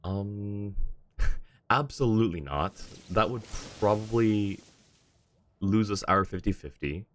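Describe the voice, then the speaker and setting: A man's voice: on the low side, slow pace. A man chatting casually as a game streamer, thinking aloud in an informal way. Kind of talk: casual livestream chat and game commentary.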